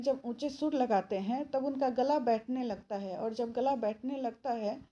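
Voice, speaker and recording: Slow-talking woman explaining a poem. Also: on the high side, warm tone. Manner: slow, repeats phrases, many filler pauses. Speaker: teacher. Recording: dull lo-fi sound with faint noise.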